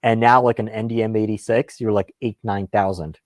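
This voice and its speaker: A man's voice: fairly low pitch, steady tone, brisk, a little mellow, no echo. A man whose first language is Russian, hosting a casual live question-and-answer stream about guns in a rambling, self-deprecating, lightly salty way.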